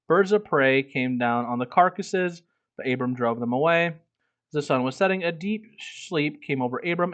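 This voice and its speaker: A man's voice: fairly high pitch, fairly bright tone. A man reading a book aloud as a streamer, breaking off into casual, irreverent, swearing commentary. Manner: irreverent, profane asides.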